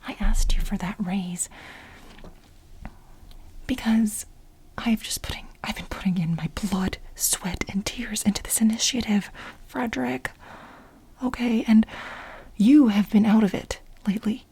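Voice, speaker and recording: A woman playing an obsessive, exasperated administrative assistant in a soft-spoken, whispery ASMR role play, insistent and repeating herself as she pleads with her boss. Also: medium pitch, steady tone. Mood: defensive. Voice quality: breathy, whispery. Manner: insistent, repetitive, halting for emphasis.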